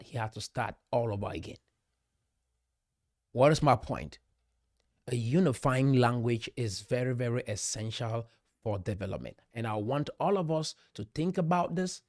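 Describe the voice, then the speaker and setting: A man's voice: medium pitch, a little mellow, no room sound, studio quality. A middle-aged Cameroonian man with a Cameroonian accent, speaking emphatically to camera and often asking rhetorical questions. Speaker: commentator. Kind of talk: direct-to-camera monologue.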